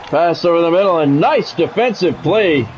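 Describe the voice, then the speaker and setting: A man's voice: a little high, lively intonation, normal speed, soft background music. A man streaming a sports game, mixing radio-style play-by-play with casual chat.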